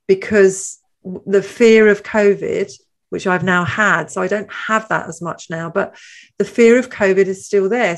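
Chatty woman hosting a panel. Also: mid-range voice. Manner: chatty, asks leading questions. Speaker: host. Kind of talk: panel discussion.

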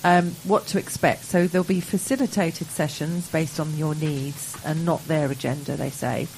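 A woman's voice: low-pitched, fairly even intonation, normal speed, a little mellow, slight background noise, poor audio. A woman co-hosting a business radio show from a remote link, chatting and reading out event listings with playful asides. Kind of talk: casual radio chat.

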